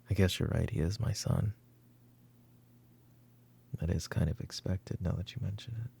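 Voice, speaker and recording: Over background music comes a weary, short-tempered mafia boss, acted by a man in a roleplay ASMR drama. He speaks slowly and commandingly, in a gravelly, breathy voice suited to a proud, possessive father. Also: low voice, steady tone, full character voice. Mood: stern, weary. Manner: commanding, curt, slipping into hushed muttering.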